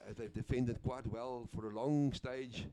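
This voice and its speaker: Guy doing sports commentary, laid back, lots of uhs, mellow voice. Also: mid-range voice, fairly even intonation, measured pace, a little hiss or hum. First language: Afrikaans. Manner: easygoing, chatty, with frequent uhs and name slips. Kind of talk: live play-by-play commentary.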